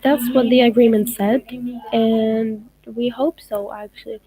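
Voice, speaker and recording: A woman's voice: fairly high pitch, fairly even intonation, warm tone, low-quality recording. A young woman from Gaza whose first language is Arabic, chatting casually on a livestream and reading follower comments aloud. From Gaza, Palestine. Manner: thoughtful, unhurried, reads comments aloud. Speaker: livestream host answering followers.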